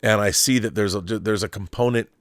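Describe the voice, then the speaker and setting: A middle-aged man hosting a casual livestream, talking emphatically and haltingly, often repeating words and rephrasing as he thinks aloud. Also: on the low side, dry recording, studio quality. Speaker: livestream host. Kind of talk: casual live chat answering viewer messages.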